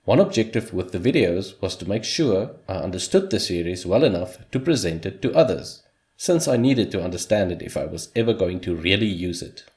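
Male voice-over host, native Afrikaans speaker, slightly low register, steady scripted delivery with dry humor. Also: a little fast. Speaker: hobby game developer, channel host.

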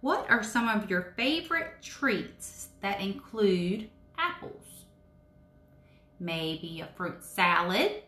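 A woman hosts a devotional story time for children in simple, question-filled phrases. Her delivery is unhurried and very animated in pitch. The room adds noticeable echo around her voice. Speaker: children's story time host, Sunday school teacher. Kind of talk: children's devotional talk addressed to young listeners. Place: indoors in a home room with brick wall and wood cabinets. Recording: roomy echo, faint background noise.

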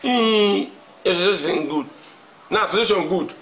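A man's voice: high voice, normal speed, a bit bright, poor audio. A man with a Nigerian accent playing a blunt, questioning church underling. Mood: wondering.

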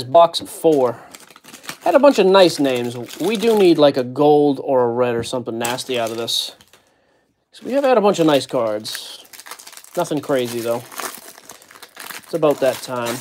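A man's voice: medium pitch, expressive, normal speed, darkish tone, slight background noise. A man hosting a card break, casually joking while he reads out player names from the packs. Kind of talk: casual card-opening chat. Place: indoor card-breaking table.

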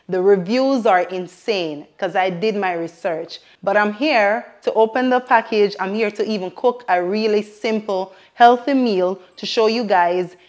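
A woman's voice: mid-range voice, darkish tone. A young woman vlogging from her home kitchen on a lapel mic, chatty and upbeat as she unboxes cookware and talks straight to her viewers. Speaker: lifestyle vlogger. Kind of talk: casual product unboxing. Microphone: clip-on lapel mic.

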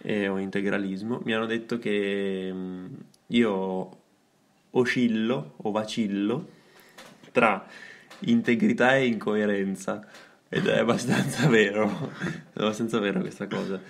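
A young man with a fairly low voice co-hosts a casual radio chat. He thinks aloud, feeling his way through sentences with a reflective, hesitant delivery. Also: steady tone, creaky. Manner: halting, reflective. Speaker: radio show co-host.

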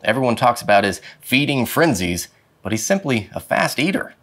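A man reading a children's picture book aloud with dramatic, expressive phrasing. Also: medium pitch, moderate pace. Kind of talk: expressive storybook read-aloud. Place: indoor studio with plain dark backdrop.

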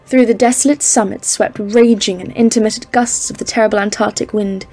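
A woman's voice: mid-range voice, fairly even intonation, fairly quick, a little mellow, soft background music, lightly acted. A woman playing a composed expedition leader, narrating a polar journal in a scripted audio drama. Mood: solemn, uneasy. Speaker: narrator and lead character.

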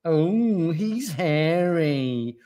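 Man putting on a swooning, high-pitched girl gorilla. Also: animated, dark tone. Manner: drawn-out, swooning. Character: swooning female gorillas, dreamy and admiring.

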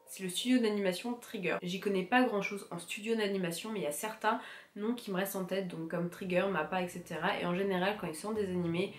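A young woman with a cold talks to camera, her voice stuffy and nasal. She chats loosely, full of fillers and restarts. The room sounds echoey, with soft music playing underneath. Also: medium pitch, steady tone, fast. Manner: chatty, many fillers and restarts. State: congested, stuffy nose from a cold. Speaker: anime vlogger. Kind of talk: casual chat to camera. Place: room with bookshelves. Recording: roomy echo, faint music behind.

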